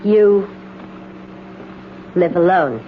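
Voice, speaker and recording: A woman playing an eerie, coolly bold young intruder in a radio drama, speaking in a deliberate, insinuating and quietly menacing way. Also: lowish voice, lively intonation. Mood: brazen, probing. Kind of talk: 1970s radio drama acting. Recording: dull noisy old radio broadcast recording.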